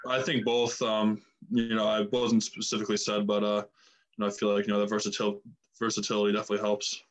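A young man, a rookie football lineman, answering reporters in a flat delivery that leans heavily on filler phrases. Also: lowish voice, fairly quick, poor audio. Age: young adult. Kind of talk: press conference answers.

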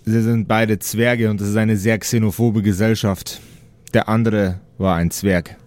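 A man's voice: on the low side, level tone, measured pace, darkish tone, no room sound, close to an everyday voice. Man playing a stern, formal paladin guard. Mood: stern, official. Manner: formal, matter-of-fact.

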